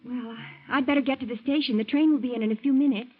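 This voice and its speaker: Proper rich young lady, high voice, vintage radio drama, muffled old sound. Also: quick pace. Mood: affectionate, concerned. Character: well-bred, trusting rich man's daughter.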